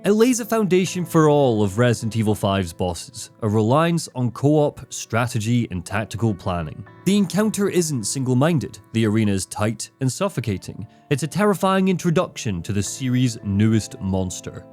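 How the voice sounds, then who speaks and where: A man's voice: medium pitch, sing-song, normal speed, hardly any pauses, darkish tone. A man delivering scripted narration for a critical video essay, with dramatic, emphatic phrasing. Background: background music, guitar.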